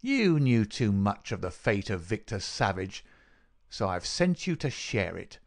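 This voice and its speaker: A man voicing a cruel, gloating murderer in an audiobook, sneering and mock-solicitous in a dry rasp. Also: on the low side, very animated, measured pace, exaggerated voice. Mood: triumphant, venomous. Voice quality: dry, rasping. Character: gloating, cruel murderer taunting his dying victim. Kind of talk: dramatic audiobook character reading.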